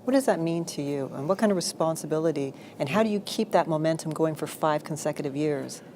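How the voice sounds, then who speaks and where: A woman's voice: low-pitched, quick pace, mellow, a little hiss or hum. A woman interviewer asking friendly questions in an eager, chatty way. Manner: eager, chatty, laughs easily.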